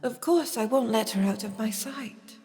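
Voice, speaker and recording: A woman acts a courteous noblewoman and devoted mother in a fantasy audio drama. Her voice is fairly high yet soft-toned, formal and full of feeling, ringing with long reverb. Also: normal speed, natural voice. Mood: tender, relieved. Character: noble duchess, polite, anxious, devoted mother. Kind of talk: scripted audio drama acting.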